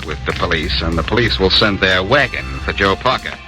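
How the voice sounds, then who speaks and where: A man's voice: sits low, brisk, music in the background, close to an everyday voice. A man playing a confident, relentless amateur detective who presses leading questions in a 1940s radio drama on an old recording. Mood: triumphant.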